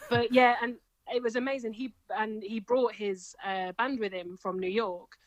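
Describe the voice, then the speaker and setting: A woman's voice: a little high, fairly even intonation, no room sound, lo-fi sound. Enthusiastic woman, dry close mic. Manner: enthusiastic, gushing, quick to laugh. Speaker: singer and comedian, guest. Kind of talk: casual interview chat.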